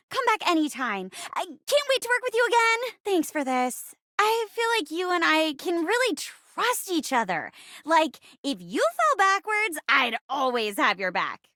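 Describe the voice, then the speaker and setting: Game role: a bubbly, bashful chair come to life; high, very animated, flustered and giggly. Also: female voice, unhurried, no echo, studio quality, full character voice. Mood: delighted, giggly. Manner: flustered, giggly, stammering, theatrical. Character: bubbly, bashful, eager-to-please living chair who loves play-acting. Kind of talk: video game voice acting.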